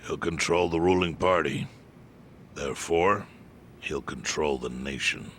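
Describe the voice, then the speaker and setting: An actor playing a calm, knowing male informant who explains things in a measured way. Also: a bass voice, slight background noise. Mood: grave.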